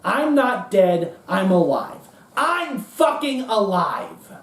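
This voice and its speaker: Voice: medium pitch, very animated, takes it slowly, pitched above the performer's own voice. A performer on stage voicing a bitter, gloating, foul-mouthed dead power broker in an emphatic, repetitive rant. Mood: defiant pride. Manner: ranting, emphatic, repetitive, profane. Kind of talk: theatrical monologue. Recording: roomy echo, distant mic.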